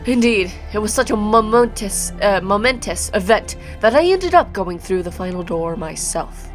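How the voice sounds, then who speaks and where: A woman's voice: medium pitch, expressive, moderate pace, soft background music, lightly acted. A woman reading the part of a mad, bitter male villain sorcerer in a grandiose, formal video game monologue. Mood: grandiose, scornful.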